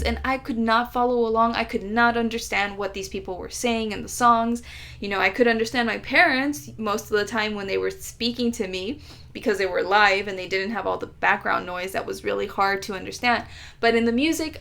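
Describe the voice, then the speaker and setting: An American woman vlogs in a casual, chatty way, playfully exaggerating her complaints. Her voice sits a little high. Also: a bit bright. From United States. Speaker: travel vlogger. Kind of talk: casual storytelling vlog.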